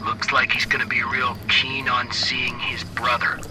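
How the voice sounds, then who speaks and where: A man's voice: mid-range voice, completely flat delivery, unhurried, over music, close to an everyday voice, poor audio. A man playing a businesslike soldier sending a radio message in a war video game, with clipped military procedure. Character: businesslike military radio caller giving a warning.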